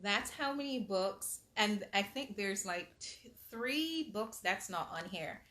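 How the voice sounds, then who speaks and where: A woman's voice: medium pitch, slow pace. A woman hosting a storytime, reading a picture book aloud expressively to children with patient, motherly asides. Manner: animated, patient parental asides.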